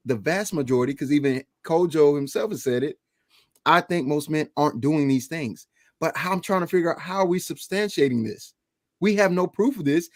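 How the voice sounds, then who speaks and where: Animated man arguing on a podcast panel. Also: fairly high pitch, dry recording, studio quality. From Atlanta area. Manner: animated, argumentative, laughs mid-point. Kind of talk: heated panel debate.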